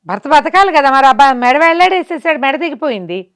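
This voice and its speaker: A woman telling a folk tale in an animated, conversational way, putting rhetorical questions to herself as she goes. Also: sits high, fast. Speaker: storyteller. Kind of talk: animated oral folk storytelling.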